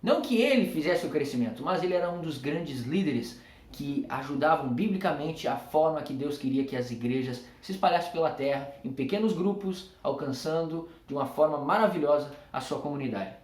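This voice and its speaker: A man's voice: on the high side, expressive, a little fast, a little mellow, roomy sound. Earnest Brazilian man with a preacher's cadence. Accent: Brazilian Portuguese. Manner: earnest, emphatic, preacher-like repetition. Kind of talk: reflective tribute talk to camera.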